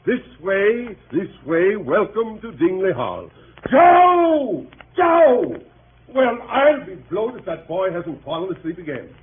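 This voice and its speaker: An actor in 1930s radio style playing a hearty, blustering middle-aged country gentleman host, genial and excitable. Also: high voice, slow, exaggerated voice. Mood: exasperated shouting. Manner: blustery, exclamatory, jovial. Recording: muffled 1938 radio broadcast, music behind.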